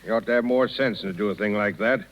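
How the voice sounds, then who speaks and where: An actor playing a stern, self-important town board official, brusque and formal, in 1930s radio style. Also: male voice, lowish voice, fast talker, crowd noise, lightly acted. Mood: gruff, disapproving. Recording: dull, lo-fi 1930s radio recording.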